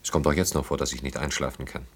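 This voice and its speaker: An actor in a German radio play, playing a brooding engineer who speaks reflectively in halting, short phrases. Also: male voice, very deep voice, steady tone, breathy edge. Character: brooding engineer haunted by wartime childhood and lost friends.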